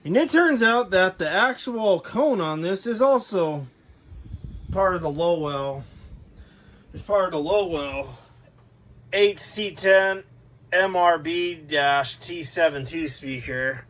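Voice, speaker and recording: A man with a somewhat high-pitched voice talks casually through a piece of gear he collects. His delivery is unscripted and rambling, and he corrects himself as he goes, like an informal hobbyist reviewer. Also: expressive, slow, fairly bright tone. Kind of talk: casual unscripted product overview.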